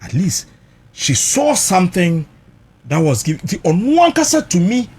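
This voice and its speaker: A man's voice: high-pitched, big pitch swings, unhurried, a little mellow, breathy edge. A Ghanaian man recounting personal experience emotionally, mixing Twi and English, emphatic and dramatic, repeating phrases for weight.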